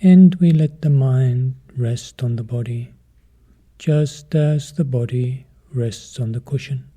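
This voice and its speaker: A man leading a guided meditation as a teacher, calm and measured, in short phrases with pauses between them. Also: mid-range voice, breathy edge.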